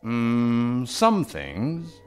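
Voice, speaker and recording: An actor playing a gentle, whimsical old man in a radio drama, doting and a little hesitant. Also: mid-range voice, huge pitch swings, drawn-out words, smooth, soft background music, exaggerated voice. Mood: playful, tender. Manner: gentle, playful, hesitant when pressed.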